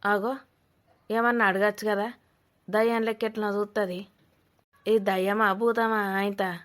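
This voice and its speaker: A woman's voice: mid-range voice, flat delivery, unhurried, a bit bright, natural voice. A woman voicing a suspicious, scolding old village woman in a cartoon comedy, with a Telangana accent, blunt and mocking. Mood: grumbling, mocking. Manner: blunt, mocking, rambling accusations.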